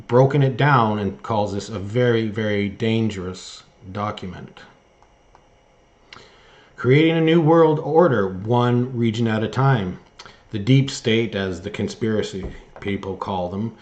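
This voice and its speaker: A man hosting an online news show, giving opinion commentary and reading articles aloud in a halting way with emphatic stress. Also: fairly low pitch, a little mellow. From Canada.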